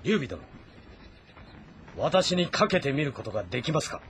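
A man playing a shrewd, composed ancient Chinese military strategist in a game drama, speaking formally and in measured explanations. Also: a little high, sing-song, a bit bright, a little hiss or hum. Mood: resolute. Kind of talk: dramatic audio-novel acting in a video game.

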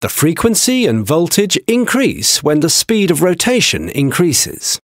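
A man giving scripted technical narration for a training video, with a measured, explanatory delivery. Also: fairly high pitch, huge pitch swings, dry recording, clean studio sound.

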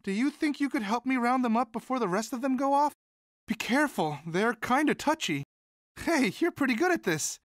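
A man's voice: very high voice, lively intonation, normal speed, a bit bright, no echo, clean studio sound. A man voicing a timid, sweet, eager young student monster in a video game, boyish, polite, earnest and hesitant. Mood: sheepish, pleading, then friendly. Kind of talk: video game character voice lines.

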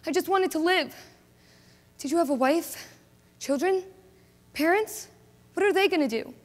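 Headstrong young woman, acted by a woman, high voice, insistent, big hall echo. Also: expressive, normal speed. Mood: panicked. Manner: insistent, defiant. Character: determined young woman who wants to fight. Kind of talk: amateur drama acting. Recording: echoey hall.

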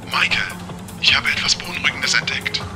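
A man's voice: sits high, moderate pace, natural voice. Man acting precise, formal talking car computer. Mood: alert, composed. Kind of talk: German audio drama acting. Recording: loud music under the voice.

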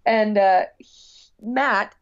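A woman's voice: mid-range voice, very slow, smooth. Woman musician chatting, slightly dull audio. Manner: long-winded, rambling and earnest. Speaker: guest musician. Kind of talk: casual interview chat.

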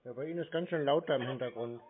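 A man's voice: medium pitch, rapid-fire. Man, phone-like sound, background chatter, casual, obtuse, contrary prank caller. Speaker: scam-baiting prank caller. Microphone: telephone.

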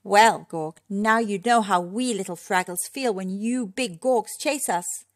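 A woman voicing a small male creature in a children's storybook, excitable, proud and earnest. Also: medium pitch, measured pace, dry recording, studio quality, lightly acted. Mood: proud, taunting. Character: timid little male creature, grown giant and boastful. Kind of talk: children's storybook character reading.

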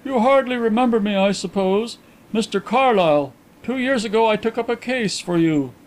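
A man putting on a fretful high-voiced detective. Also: moderate pace, natural voice, low-quality recording. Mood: polite. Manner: fretful, insistent, raised high. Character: fussy anxious male detective, middle-aged, precise.

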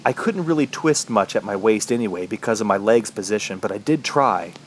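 A man's voice: on the low side, animated, lightly acted. Brooding trapped man played by an actor, noisy ambience. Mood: grim, brooding. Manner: brooding, halting, dramatic. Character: injured, thirsty trapped man recounting his ordeal. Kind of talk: first-person horror radio drama narration.

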